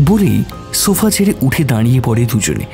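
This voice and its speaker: A man's voice: mid-range voice, huge pitch swings, brisk, creaky, music in the background. A man narrating a scripted suspense story in a dramatic style.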